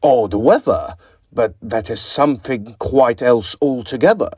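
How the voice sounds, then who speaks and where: A man plays an unsettling Victorian student in an audio drama, courteous and ingratiating on the surface. His speech is inflated and grandiose, swinging widely in pitch, and sounds very dry and close. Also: animated, unhurried, darkish tone, breathy edge, no room sound. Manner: ingratiating, high inflated talk, evasive. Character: suave, unnerving male Oxford student with grandiose ambitions. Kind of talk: period audio drama acting.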